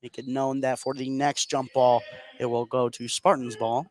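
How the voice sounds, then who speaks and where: A man doing live play-by-play basketball commentary on radio, getting excited on big plays. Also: mid-range voice, moderate pace. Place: indoor school basketball gym. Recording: noisy gym ambience behind.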